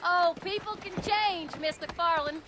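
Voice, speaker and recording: A jealous, teasing wife on the Old West frontier. Her high voice has a rural American Western accent and a blunt, sarcastic bite. Clear outdoor noise runs behind this game dialogue. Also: unhurried. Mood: wry. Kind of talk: video game cutscene acting.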